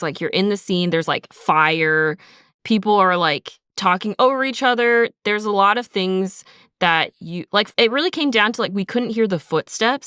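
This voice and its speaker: A woman's voice: lowish voice, a bit bright, clean tone, no room sound, clean studio sound. A woman co-hosting a podcast, explaining things in a casual, conversational way and often saying "like". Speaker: audio drama writer, podcast co-host.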